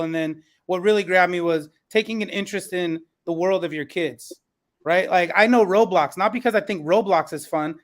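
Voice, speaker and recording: Male panel host, notably high voice, conversational, educator.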